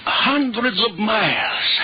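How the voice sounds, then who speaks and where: An actor playing a grave, imperious scientist in an old radio horror drama, portentous and melodramatic, repeating words for weight. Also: male voice, sits high, very animated, slow, exaggerated voice. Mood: dazed, solemn. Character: grave, doom-laden scientist, intense and imperious. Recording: muffled, noisy old radio recording.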